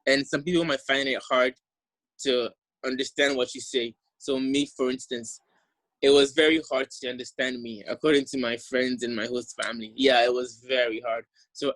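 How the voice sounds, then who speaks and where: A young man from Ghana with a Ghanaian accent softened toward American, giving a friendly, enthusiastic talk over slides. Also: medium pitch, steady tone, moderate pace, no room sound, rough recording. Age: young adult. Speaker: exchange alumnus presenter. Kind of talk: casual presentation over slides.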